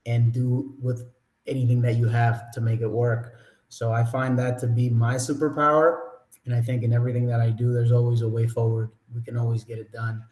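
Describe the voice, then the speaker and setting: A man from the Dominican Republic, a panelist speaking in a friendly, steady and upbeat way on a video call. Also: mid-range voice, a little fast, reverberant, rough recording. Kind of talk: casual panel talk.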